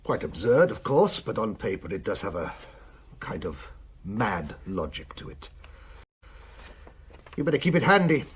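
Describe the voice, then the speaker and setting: An actor playing a worldly, cynical German admiral in a wartime radio drama, speaking in a wry, patronising, theatrical way. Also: male voice, fairly low pitch, very animated, measured pace, pauses often, breathy edge, exaggerated voice.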